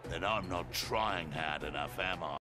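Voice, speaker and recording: An actor playing a mocking criminal thug with an Australian accent in a fighting game, sardonic and taunting. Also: male voice, sits low, unhurried. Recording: loud game music and effects behind.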